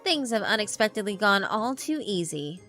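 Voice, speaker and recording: A woman's voice: medium pitch, fairly bright tone. A woman voicing an arrogant, foul-mouthed evil double of a girl, scheming smugly in a visual novel.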